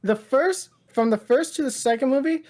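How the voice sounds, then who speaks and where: A woman's voice: high-pitched, moderate pace, a little mellow. A woman chatting casually as a podcast co-host, enthusiastic and rambling, peppering her talk with "like" and "dude". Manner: rambling, heavy use of "like" and "dude"; enthusiastic, gushing. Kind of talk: casual pop-culture chat.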